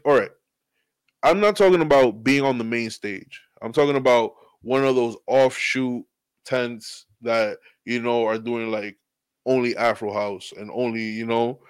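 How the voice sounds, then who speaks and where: A man's voice: medium pitch, smooth, dry recording. A man talking as a podcast guest and DJ, laid-back and rambling, swearing freely in casual chat. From New York. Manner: laid-back, profane, rambling with "you know".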